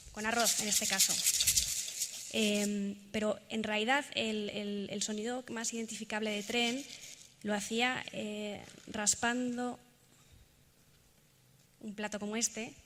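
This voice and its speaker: A woman with a Spain Spanish accent gives an informal presentation to an audience, hesitant and pausing often with fillers. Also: medium pitch, little pitch movement, a bit bright. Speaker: presenter giving a talk and demonstration. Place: large echoing indoor event space. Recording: reverberant hall, clear background noise.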